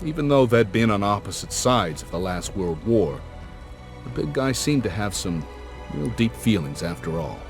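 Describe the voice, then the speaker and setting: A folksy older adventurer tells his tale in a low voice with slangy, reflective phrasing. It sounds like a cinematic game cutscene, with music clearly playing behind him. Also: very animated, moderate pace. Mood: wistful. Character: folksy older male adventurer, warm storytelling uncle type.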